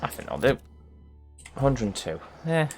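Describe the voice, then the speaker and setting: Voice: medium pitch, very animated, measured pace, soft background music. A video game streamer giving casual, sluggish commentary, muttering to themselves with playful mock-formal turns of phrase. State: sluggish, not fully awake.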